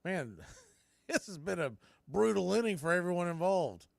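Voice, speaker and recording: A man's voice: high-pitched, animated, moderate pace, gravelly, no echo, clean studio sound. A man announcing baseball play-by-play in clipped, folksy phrases. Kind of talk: live play-by-play commentary.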